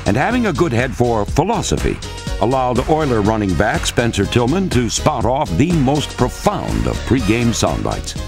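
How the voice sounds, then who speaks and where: A man delivering scripted narration for a sports highlights film as the narrator. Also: wildly swooping pitch, moderate pace, gravelly, music in the background. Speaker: documentary narrator.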